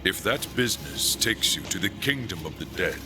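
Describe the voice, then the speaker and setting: An actor playing a seasoned, steadfast angel warrior in a fantasy game, speaking formally and earnestly. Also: male voice, deep voice, expressive, normal speed, noisy background. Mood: grave, steadfast. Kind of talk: dramatic game cutscene acting.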